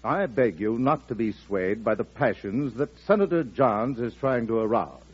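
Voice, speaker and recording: A formal, measured government minister in an old radio play. His mid-range voice is husky. The recording sounds dull and aged. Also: medium pitch, animated, soft background music. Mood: formal, resolute. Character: measured, formal, loyal male Secretary of State. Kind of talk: old-time radio drama acting.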